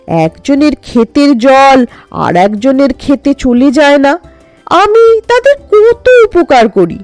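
Woman acting talking earth ridge, wronged, complaining, emphatic, lively intonation, storybook. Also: sits high, no room sound, full character voice. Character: aggrieved talking field ridge made of earth.

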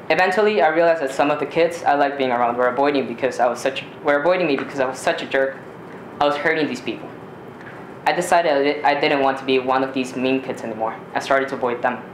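A teenage male from Ecuador, a native Spanish speaker, reading a personal story aloud in a measured, deliberate way with occasional stumbles. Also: medium pitch. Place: small community theater stage. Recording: roomy live stage recording, faint noise.

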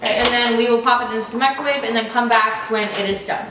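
A woman's voice: fairly high pitch, steady tone, fast, far from the mic. Teen girl explaining steps in an echoey kitchen. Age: teenager. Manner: instructive, matter-of-fact. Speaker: vlog host. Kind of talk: casual step-by-step cooking demo. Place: home kitchen, indoors. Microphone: far camera on a tripod. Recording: roomy echoey kitchen, noisy.

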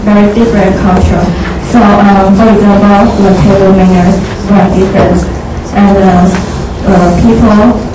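A woman's voice: medium pitch, steady tone, moderate pace, hardly any pauses, far from the mic. A young woman whose first language is Mandarin gives a hesitant class presentation in English into a handheld mic, with many fillers. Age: young adult. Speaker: student presenter. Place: large classroom. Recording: echoey classroom taped from afar, background noise.

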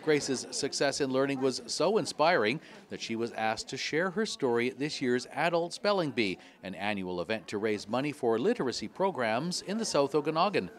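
A man narrating a scripted local news report in a measured, upbeat way. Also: medium pitch, big pitch swings.

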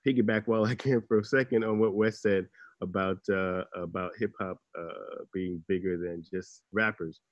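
A man moderating a panel over a video call, introducing the next guest in a measured way with many fillers. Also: low voice, fairly even intonation, no echo, highs cut off.

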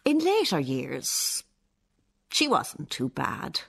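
An actress with an Irish accent playing a stern, bitter, defensive older nun in a radio play, sounding accusing and sanctimonious. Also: female voice, sits low, wildly swooping pitch, slow pace, gravelly, slightly rough, exaggerated voice. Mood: self-justifying. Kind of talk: radio play acting, monologue and dialogue.

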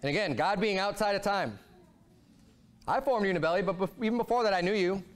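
A pastor in his thirties preaches from the pulpit in a high, bright-edged voice. He talks with fervor and swings from low, quiet asides up to ringing exclamations. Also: quick pace. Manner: animated preaching, voice rising and falling a lot. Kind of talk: sermon. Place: church sanctuary, speaking at a pulpit.

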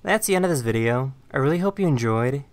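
A man's voice: medium pitch, animated, quick pace, faint music behind. A man reading scripted narration for a compilation of short viral clips.

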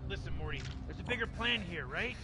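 A man's voice: high voice, animated, brisk, over loud music. A man voicing a smug, rambling old mad scientist who brushes off every objection. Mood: scheming. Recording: noisy outdoor recording with traffic.

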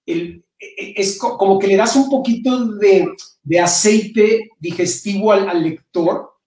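A middle-aged man from Mexico with a Mexican accent touched by Spain, talking casually and haltingly as an interview guest. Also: high voice, darkish tone. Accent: Mexican Spanish with some Spain influence. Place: indoor room at home, on a video call. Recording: roomy, distant-mic video call.

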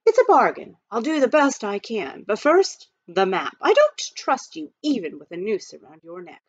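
A woman's voice: fairly high pitch, sing-song, normal speed, pitched above the performer's own voice. A woman voicing a polite, clever male butler in a storybook reading; formal, courteous speech on a dull lo-fi recording.

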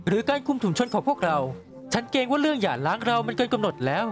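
A man voicing a flirtatious, posh owl demon prince in an animated comedy dub, polite and coy. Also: very high-pitched, fast. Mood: weary, firm. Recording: loud music behind the voice.

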